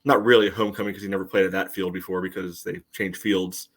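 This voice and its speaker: A man co-hosting a sports podcast, giving casual commentary in a halting, filler-heavy way. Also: sits low, little pitch movement, breathy edge. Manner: halting, peppered with "you know". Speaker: podcast co-host.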